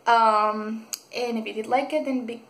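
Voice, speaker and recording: A woman's voice: on the high side, unhurried. Gushing young woman. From Mexico. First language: Spanish. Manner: gushing, excitable, full of fillers and restarts. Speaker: book vlogger. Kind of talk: casual book review. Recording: echoey room.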